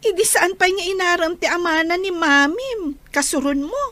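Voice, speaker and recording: A woman's voice: very high-pitched, moderate pace, sharp tone, dry recording. A woman acting an inquisitive, teasing young confidante in a radio drama.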